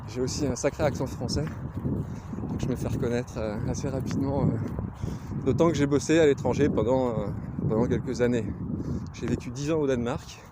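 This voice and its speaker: A man with a Lorraine accent talks casually while walking outdoors, pausing often to find his words. His voice sits at an ordinary middle pitch. Steady outdoor noise and passing vehicles run behind him. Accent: Lorraine French. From Lorraine, France. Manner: rambling, frequent hesitations. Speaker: glassblower vlogger. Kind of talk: casual talk to camera. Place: outdoors on a countryside walk.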